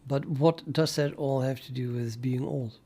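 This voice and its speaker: An older man from the Netherlands with a Dutch accent, talking casually and haltingly to his livestream chat while he codes. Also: mid-range voice, fairly even intonation, warm tone. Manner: musing, hesitant, halting with many fillers, chuckles to himself. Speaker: programming livestreamer.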